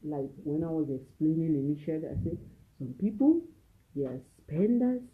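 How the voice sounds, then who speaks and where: Soft-spoken Nigerian woman teaching, phone-like sound. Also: deep voice. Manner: repeats key phrases for emphasis; speaking softly at home. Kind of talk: teaching talk with preaching. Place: at home. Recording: narrow phone-like audio.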